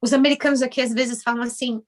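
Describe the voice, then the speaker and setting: Lively Brazilian woman telling her story. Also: on the high side, fast talker. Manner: animated, acts out dialogue with others in shifted voices. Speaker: guest, Brazilian orthodontist now working as a house cleaner. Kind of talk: casual interview storytelling.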